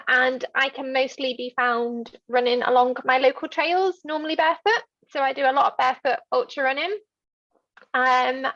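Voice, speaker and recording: A woman's voice: sits high, a bit bright. A woman, chatting casually as a guest in an interview, on slightly dull lo-fi call audio. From London, England. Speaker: interview guest, ultra runner.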